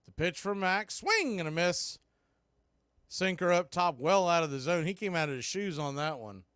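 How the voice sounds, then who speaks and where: Male play-by-play announcer, fairly high voice, relaxed with wry asides, close dry mic. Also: normal speed, clean tone, no room sound. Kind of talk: baseball play-by-play commentary.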